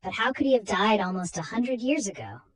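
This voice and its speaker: A woman voicing an excitable, blunt male cop in a storybook reading, with exclamatory delivery. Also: medium pitch, brisk, slightly rough, pitched above the performer's own voice. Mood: startled, perplexed.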